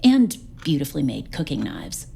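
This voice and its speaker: A woman playing a wry, capable investigator officer, reporting in a brisk, matter-of-fact way. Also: extremely low pitch, huge pitch swings, gravelly, dark tone, slightly rough, full character voice. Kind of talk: audio drama acting.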